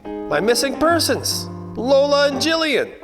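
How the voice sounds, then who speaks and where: A man's voice: very high voice, sing-song, measured pace, over music, exaggerated voice. A man playing a wisecracking 1940s private detective, narrating in wry slang in a noir radio play. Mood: surprised, wry.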